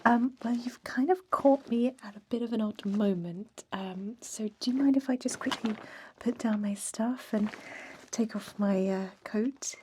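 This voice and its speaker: A woman playing a chatty, laid-back, gossipy female vampire interviewer in an ASMR roleplay, in a soft whisper with an informal, confiding manner. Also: on the high side, unhurried, slight background noise, close to an everyday voice. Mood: friendly, flustered.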